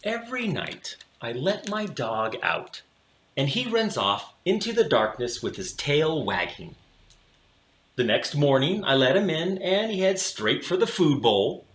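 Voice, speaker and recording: A man reading a children's picture book aloud expressively, with theatrical delivery and deliberate dramatic pauses. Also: a little high, very animated, a bit bright. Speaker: storybook reader for children. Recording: roomy, echoing room sound.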